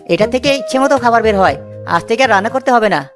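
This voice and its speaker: A woman's voice: a little high, expressive, fast, close to an everyday voice. A woman voicing a lazy, cheeky, good-hearted young village man in a children's cartoon, breezy and boastful. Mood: cheerful, boastful. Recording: loud music under the voice. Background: loud music.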